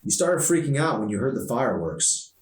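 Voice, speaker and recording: A man's voice: mid-range voice, sing-song, a little fast, pitched above the performer's own voice, close to an everyday voice. A man voicing a sarcastic, teasing, spirited teenage girl from a novel, in an echoey recording. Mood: concerned, prodding. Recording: echoey room, distant mic.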